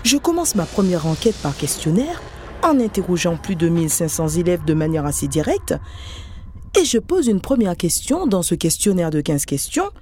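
A middle-aged woman, a researcher, giving a measured, scripted podcast narration. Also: lowish voice, big pitch swings, darkish tone, faint music behind. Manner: measured, storytelling delivery. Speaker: researcher and lecturer.

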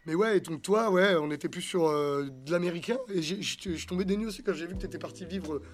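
A man hosting an interview, asking loose, hesitant questions in a relaxed way. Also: high-pitched, fast talker, faint music behind. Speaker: podcast host. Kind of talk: casual interview chat.

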